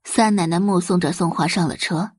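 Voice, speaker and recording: A woman's voice: on the low side, fairly quick, slightly rough, no echo. A woman narrating a scripted dramatic audiobook story.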